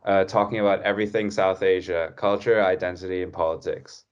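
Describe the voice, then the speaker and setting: A man's voice: deep voice, flat delivery, a little fast. A young man co-hosting a student podcast and asking curious questions in a casual way. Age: young adult. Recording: dull lo-fi sound.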